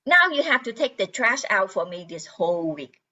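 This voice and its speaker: A woman's voice: medium pitch, very animated, normal speed, sharp tone. A woman playing a weary, whiny eight-year-old little sister in an amateur radio play. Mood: indignant. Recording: dull, muffled lo-fi sound.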